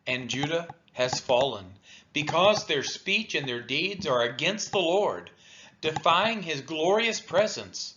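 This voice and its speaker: A man giving a solemn, scripted Bible reading in measured, deliberate phrases with pauses, as a church read-along reader. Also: mid-range voice. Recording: dull lo-fi audio, clear background noise.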